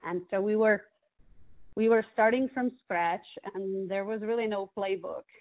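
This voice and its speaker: A woman's voice: medium pitch, normal speed. A woman from the Czech Republic with a Czech accent, a guest in an interview, speaking thoughtfully with frequent restarts. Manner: thoughtful, many restarts and fillers. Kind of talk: interview conversation. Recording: phone-like narrow band.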